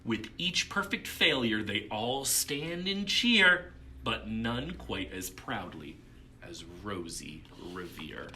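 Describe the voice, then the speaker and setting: Male storytime reader, unhurried and very expressive, dramatic pauses, clear background noise. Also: lowish voice. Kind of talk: expressive storybook read-aloud with casual asides.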